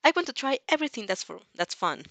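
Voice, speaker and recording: A woman's voice: a little high, big pitch swings, fast talker, a bit bright, no room sound, pitched above the performer's own voice. A woman voicing a naive, eager young vampire princess who is earnest, wide-eyed and easily amazed, in an audio story.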